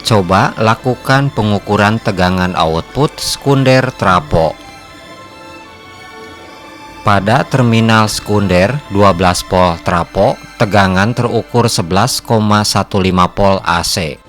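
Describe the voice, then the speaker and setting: A man reading scripted narration for a repair tutorial in a measured, formal, instructional way. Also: on the low side, fairly bright tone, faint music behind. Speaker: host of an electronics repair channel.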